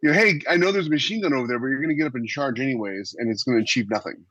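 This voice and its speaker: A man's voice: mid-range voice, rapid-fire, a bit bright, no echo. American man, dry and slightly muffled. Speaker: podcast co-host. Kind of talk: casual panel discussion. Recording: dry, slightly dull audio.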